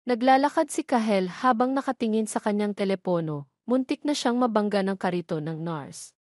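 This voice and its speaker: A Filipino woman narrates a scripted romance drama in a mid-pitched voice. She works as a storyteller, recorded close and very dry, with no room sound around her. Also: moderate pace, darkish tone, dry recording.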